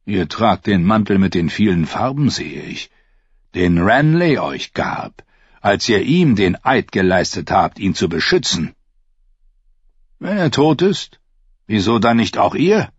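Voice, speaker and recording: Read by a man, a scornful old knight taunts a king in an audiobook, his husky voice dragging slowly and dripping with contempt. The voice swings widely in pitch. The recording is dry and slightly dull. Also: sing-song, no room sound, exaggerated voice. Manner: contemptuous, mocking.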